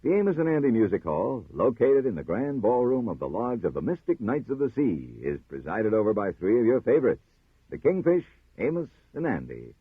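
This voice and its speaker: A man's voice: mid-range voice, big pitch swings, a little fast, husky, no room sound. A man announcing and promoting programs in a polished 1950s radio style. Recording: narrow-band 1950s radio recording.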